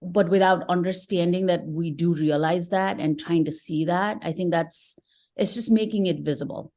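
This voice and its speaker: Low-voiced Nepali-born woman guest. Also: steady tone, moderate pace, darkish tone. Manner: earnest, thoughtful, with frequent restarts. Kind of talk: conversational interview.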